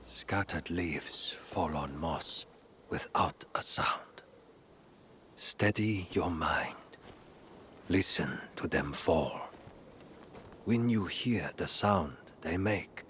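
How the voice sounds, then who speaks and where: An actor playing a wry, battle-worn ronin and former general in a video game, with a deliberate, knowing, formal manner. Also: male voice, rumbling low, a bit bright, slight background noise, exaggerated voice. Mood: hushed, instructive. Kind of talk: dramatic video game acting.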